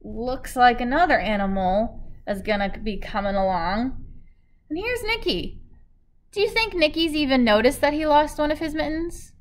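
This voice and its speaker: A young woman reads a storybook aloud for small children in a fairly high voice. Her intonation moves widely and expressively. She breaks from the text for teacherly asides and questions, speaking through a face mask to a device in front of her. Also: lively intonation, normal speed. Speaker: teacher reading a picture book aloud. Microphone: device in front at about arm's length, speaking through a face mask.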